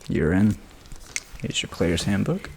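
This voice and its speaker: A man's voice: low-pitched, sing-song, a little slow, vocal fry, darkish tone, full character voice. A man voicing a laid-back teenage metalhead game master in a whispered ASMR roleplay, over a noisy hiss.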